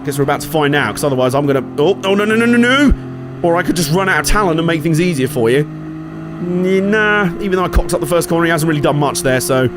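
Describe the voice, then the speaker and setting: A man with a slightly hoarse voice from a cold, casually commentating his own racing game with jokes and swearing. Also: on the high side, lively intonation, fast, background noise. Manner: chatty, jokey, sweary, reacting aloud to the race. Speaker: gaming channel host.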